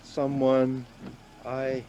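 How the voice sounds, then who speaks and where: A man's voice: monotone, extremely slow, dark tone, dull audio. An actor playing a numb, guilt-stricken man traveller in a radio play, speaking in a hesitant, subdued way. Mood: haunted, hesitant. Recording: background noise with car rumble.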